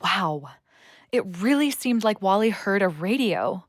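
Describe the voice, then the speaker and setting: An actress playing a hesitant, kind daughter helping at her father's clinic, tentative and gentle, in an audio play. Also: medium pitch, animated, unhurried, no echo, studio quality, close to an everyday voice. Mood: concerned.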